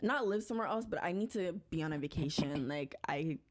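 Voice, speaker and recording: A woman who is a podcast guest, chatting in a relaxed, agreeable way full of fillers. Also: sits low, a little fast. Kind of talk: casual chat.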